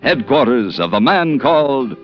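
A man announcing an old radio western in a deliberate, dramatic 1950s style. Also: mid-range voice, sing-song, soft background music. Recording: muffled old radio recording.

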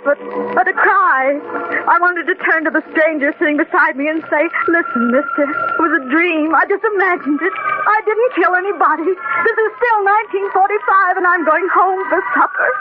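An actress playing a tender, fearful young American woman in a dramatic, emotional 1940s radio drama. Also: extremely high pitch. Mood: rising hysteria. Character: young haunted woman, tender, fearful, narrating her flight. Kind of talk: 1940s radio drama acting, first-person narration. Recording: noisy, tinny old radio recording.

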